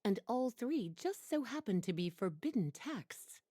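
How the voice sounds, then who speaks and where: Languid game librarian, soft breathy tone, lively intonation, poised, teasing, unhurried. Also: female voice, a little high. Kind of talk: animated video game voice acting.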